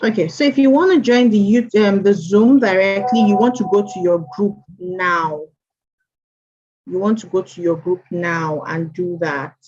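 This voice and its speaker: A woman's voice: mid-range voice, unhurried, a little mellow, breathy edge, faint music behind. A woman hosting an online mentorship session, greeting attendees by name and repeating welcomes. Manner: chatty, repeats greetings, reads names aloud. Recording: dull lo-fi stream audio.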